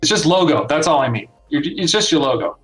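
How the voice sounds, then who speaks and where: A man's voice: fairly high pitch, very animated, fast talker, fairly bright tone, faint music behind. A man chatting casually, with a teasing way of talking. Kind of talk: casual chat.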